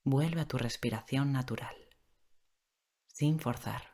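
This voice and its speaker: Very low-voiced woman guiding sleep meditation. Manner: gentle, unhurried, with long pauses. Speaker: guided meditation instructor. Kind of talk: guided sleep meditation, slow soothing instruction. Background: relaxing rain sound and soft music.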